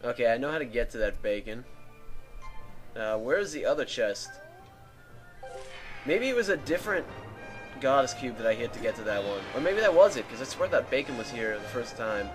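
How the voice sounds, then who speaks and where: A man with a dry, raspy throat rambling casually as a let's play host. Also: medium pitch, big pitch swings, normal speed, long pauses, music in the background. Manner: rambling, chatty. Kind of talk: casual gameplay commentary. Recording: home mic with static.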